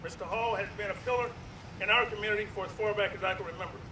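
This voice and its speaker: A young Marine officer delivers a measured, formal tribute in a high voice. He is picked up from afar through an echoey public address system outdoors. Clear traffic noise runs underneath. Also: fairly even intonation, distant mic. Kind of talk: formal ceremonial tribute speech.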